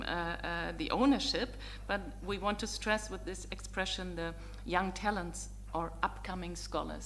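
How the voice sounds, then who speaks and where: Woman, German native speaker, middle-aged professor, formal lecture welcome, measured with ums, medium pitch, faint hum. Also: fairly bright tone. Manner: measured, courteous, with frequent ums and uhs. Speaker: visiting professor. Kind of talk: formal opening address to students.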